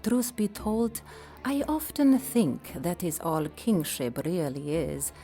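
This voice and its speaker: A gracious empress of an old court in an audio drama. Her voice is low and she takes her time, with playful, wry formality. Music runs underneath. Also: big pitch swings, slow pace, mellow. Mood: wry, confiding. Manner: regal, wry, formal phrasing. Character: gracious, playful empress, wife of a Persian emperor.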